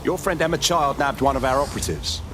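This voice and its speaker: An actor playing a keen, terse resistance operative in a video game. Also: male voice, medium pitch, expressive, fast, noisy background, natural voice. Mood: brisk.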